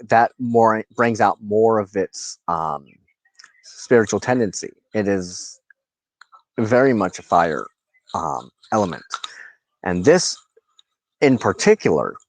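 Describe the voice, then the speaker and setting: A man giving an informal spiritual talk as a reverend and teacher, speaking in a halting, deliberate way with drawn-out pauses. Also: deep voice, no echo, poor audio. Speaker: host, pagan reverend and teacher.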